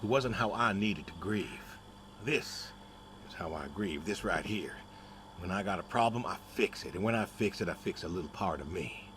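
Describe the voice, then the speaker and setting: Low-voiced, plainspoken pig farmer. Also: male voice, noisy background. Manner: folksy, halting, understated. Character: quiet, stubborn, plainspoken middle-aged male pig farmer, grieving. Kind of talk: naturalistic dramatic game dialogue.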